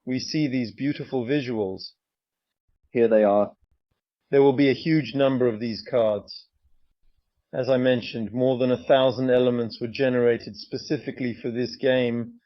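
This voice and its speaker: A man's voice: mid-range voice, steady tone, normal speed, dry recording, lo-fi sound. A man presenting a game's screens in a walkthrough, sometimes hesitant as he searches. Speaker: game presenter.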